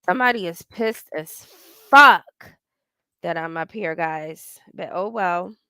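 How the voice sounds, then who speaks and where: A woman's voice: fairly low pitch, takes it slowly, pushed and bright, clean tone, no room sound, poor audio. Profane, rambling woman in her forties. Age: 40s. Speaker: livestream host. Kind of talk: casual livestream chat, reading viewer comments.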